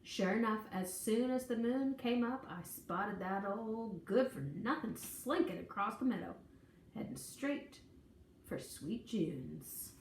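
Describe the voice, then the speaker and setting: A woman reading a children's picture book aloud in an expressive, folksy, dramatic storytelling manner. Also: mid-range voice, slow. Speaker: storybook reader. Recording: echoey room, distant mic, faint noise.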